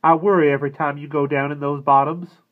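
A man's voice: a little high, fairly even intonation, fast, pitched above the performer's own voice, natural voice. A man putting on a fretful country mother. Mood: worried. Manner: anxious, pleading. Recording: tinny phone-like sound.

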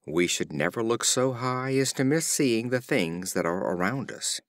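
A man narrating a storybook of fables in a measured, expressive reading. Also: fairly low pitch, no echo, clean studio sound. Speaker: audiobook narrator.

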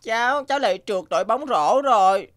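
Give me a woman as a high-pitched sulky young boy. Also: lively intonation, fairly quick, natural voice. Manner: whining, complaining. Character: sulky, self-pitying nine-year-old boy. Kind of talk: cartoon dubbing.

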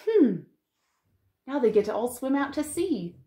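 A woman in her thirties, a children's librarian, reads a picture book aloud and chats to young listeners with expressive wonder and drawn-out emphasis. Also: high voice. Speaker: children's librarian hosting storytime. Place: living room at home, indoors.

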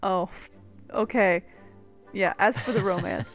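Unhurried, chatty woman streaming a game. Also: medium pitch. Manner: joking, chatty. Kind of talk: casual gameplay commentary. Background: game music.

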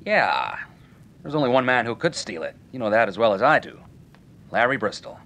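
A man's voice: mid-range voice, very animated, moderate pace, bright, forceful tone. A man playing a breezy, slangy nightclub owner in an old-time radio detective drama, with a wry, colloquial way of talking. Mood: frank.

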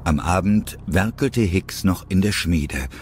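A man's voice: low-pitched, animated, slow, a little mellow, slight background noise. A man narrating a scripted audio drama as its storyteller.

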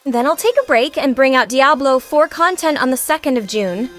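A woman's voice: high-pitched, lively intonation, normal speed, over music. A woman giving casual gameplay commentary as the host of a gaming channel.

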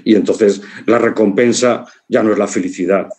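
A man in his fifties with an accent from Spain, giving an informal conference talk over a video call in a reflective, halting way, often checking in with short tags. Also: on the low side, fairly even intonation, darkish tone. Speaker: congress speaker, writer and philosopher. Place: living room at home, on a video call.

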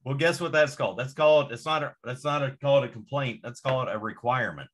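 A man's voice: medium pitch, fast talker, a bit bright, a little hiss or hum. A man who grew up in Indonesia with American parents, talking as a podcast guest in casual chat, emphatic and profane, ranting with force.

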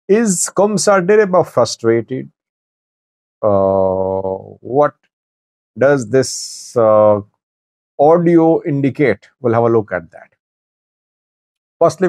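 A man in his forties giving news commentary to camera in a deliberate, halting way, pausing mid-sentence. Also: medium pitch, darkish tone, no echo. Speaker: news commentator, channel host. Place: small wood-panelled office, indoors.

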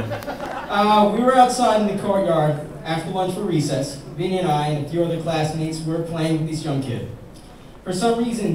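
A man's voice: on the high side, noisy background. A young man giving a best man's toast at a wedding, reading from a page into a handheld mic and joking at his own expense. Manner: joking, self-mocking, pausing for laughs. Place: large banquet hall at a wedding, indoors. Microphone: handheld mic, filmed by a far camera. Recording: echoey hall from far off, crowd laughing.

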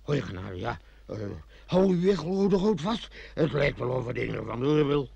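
An excitable, naive quibbler in a radio play. His creaky voice swings up and down as he questions everything with hesitant pedantry. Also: mid-range voice, moderate pace, no echo, highs cut off, heavily acted. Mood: excited. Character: naive, nitpicking, excitable lion hunter. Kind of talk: Dutch radio play acting.

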